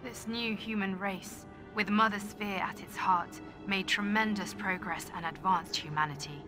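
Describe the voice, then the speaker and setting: A woman playing a grim, solemn soldier dictating a log in a video game, in grave, deliberate, clipped phrases. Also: mid-range voice, music in the background, close to an everyday voice. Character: grim, solemn female soldier logging discoveries.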